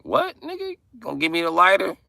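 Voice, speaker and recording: Guy doing a high, yelling, impatient young dude, sharp and tense, dry close sound. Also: wildly swooping pitch, a little fast, creaky, no echo, full character voice. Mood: exasperated. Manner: yelling, exasperated. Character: impatient young man shouting for a lighter. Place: inside a car.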